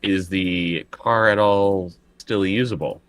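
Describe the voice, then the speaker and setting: A man with a deep voice takes part in a tabletop roleplaying game. He talks casually and somewhat tentatively, working out his actions as he goes. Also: fairly even intonation, slow.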